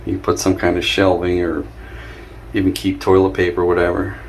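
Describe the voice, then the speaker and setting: A man's voice: low-pitched, completely flat delivery, brisk. A man casually narrating his hands-on repairs in a wry, joking way. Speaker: host, DIY repair vlogger. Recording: clear background noise.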